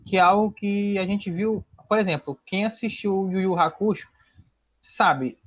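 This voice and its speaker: A man with a distinctly high voice and a Brazilian accent, talking in a loose, rambling, informal way. The online call audio is somewhat muffled and lo-fi. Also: unhurried. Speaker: participant in an online discussion. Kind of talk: casual anecdote.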